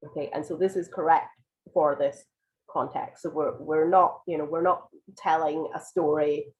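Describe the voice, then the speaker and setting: A woman teaching an online IELTS writing lesson, croaky and congested from a cold, explaining patiently with many ums and restarts. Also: low voice, steady tone, normal speed, a little mellow, rough recording. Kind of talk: live online lesson, answering viewer questions.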